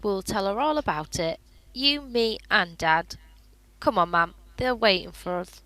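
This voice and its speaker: A woman's voice: mid-range voice, expressive, unhurried, sharp tone, no echo, rough recording. An actress in a radio play playing a reassuring wartime daughter who soothingly comforts her mother. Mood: comforting.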